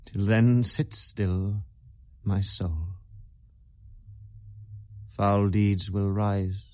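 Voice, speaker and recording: A man playing a brooding, grave young prince in a deliberate, classic Shakespearean radio soliloquy. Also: lowish voice, little pitch movement, darkish tone, no echo, clean studio sound. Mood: grim resolve.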